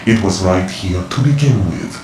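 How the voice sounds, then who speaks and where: An actor playing a mysterious, cryptic male informant in a video game cutscene, speaking in a measured, lecturing way. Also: deep voice, background noise, natural voice. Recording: roomy game mix with loud music.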